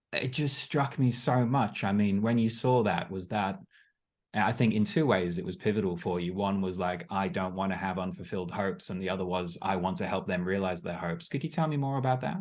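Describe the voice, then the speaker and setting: A man in his thirties hosting an interview over a video call, enthusiastic and a bit halting, with many "like"s. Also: on the low side. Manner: enthusiastic, hesitant, many restarts and "like". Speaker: podcast host. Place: home room, indoors.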